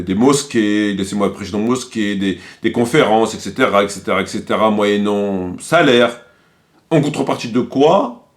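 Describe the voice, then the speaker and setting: A man with a mid-pitched voice delivers unscripted commentary on religion and politics. He explains things step by step in a polemical, lecturing manner. His delivery is halting, with words repeated as he looks for the next phrase.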